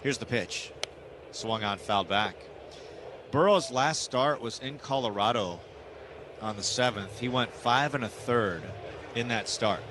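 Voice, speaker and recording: A male radio announcer calls a baseball game live from a ballpark booth. His voice sits in a middle pitch, with clear outdoor background noise behind the commentary. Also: measured pace.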